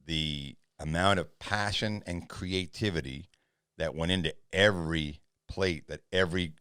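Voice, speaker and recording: Low-voiced podcast host, dry sound. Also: male voice, a little slow, a bit bright, clean tone, dry recording. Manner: conversational, asks questions. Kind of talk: casual interview chat.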